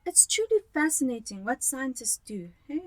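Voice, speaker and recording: A woman's voice: high-pitched, big pitch swings, moderate pace. A woman acting a fussy, loving elderly mother and wife in an amateur radio drama. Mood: calm, chiding.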